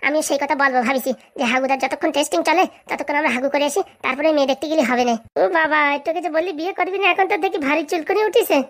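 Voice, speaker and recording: A woman voicing an excitable, bantering comic village character in a cartoon, with a rural Bengali accent and colloquial, exclamatory talk. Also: high voice, natural voice. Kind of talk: comedy cartoon dialogue.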